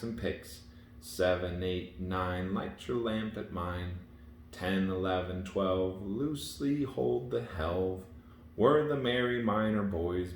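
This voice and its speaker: A man voicing a carefree young miner boy who chants a singsong rhyme in a storybook reading. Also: deep voice. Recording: echoey room, faint hiss.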